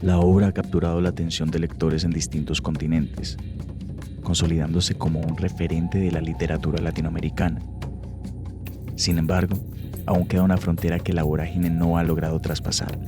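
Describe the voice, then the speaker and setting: A man reading scripted documentary narration in a steady, formal way. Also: sits low, brisk, music in the background. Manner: steady, formal, even delivery.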